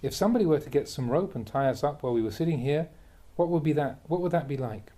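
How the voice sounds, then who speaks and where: A man, a Buddhist monk, reads a translated spiritual talk aloud in a measured, emphatic way, posing rhetorical questions. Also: a little high, expressive, warm tone. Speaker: Buddhist monk reading a teacher's talks aloud. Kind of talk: reading a translated Dharma talk aloud, with brief asides.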